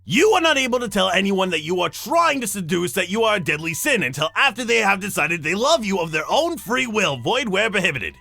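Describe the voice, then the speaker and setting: A flamboyant demon guide for a visual novel game, cocky and bawdy. The voice swoops theatrically and has a bright, cutting edge, with music playing underneath. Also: medium pitch, big pitch swings, a little fast, vocal fry, hardly any pauses, exaggerated voice. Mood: officious. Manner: showy, self-satisfied, swooping delivery.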